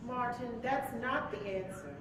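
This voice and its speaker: A steady, firm wife and mother in a stage drama, played by a woman. Her voice is a little high and reasoning. It is heard from a distance in a very echoey hall with background rumble. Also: measured pace, close to an everyday voice. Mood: calm, firm. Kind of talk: live stage play dialogue. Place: live stage before an audience.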